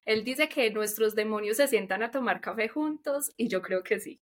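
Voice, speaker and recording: A Colombian woman speaking candidly as an interview guest, colloquial and expressive, repeating words for emphasis. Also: on the high side, moderate pace. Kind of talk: casual, candid chat.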